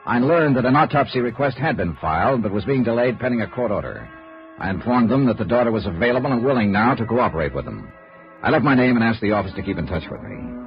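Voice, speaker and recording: An American actor playing a cool, probing insurance investigator in a 1950s radio noir drama, with clipped, wry delivery. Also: male voice, mid-range voice. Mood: dry narration. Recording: dull, noisy old radio recording.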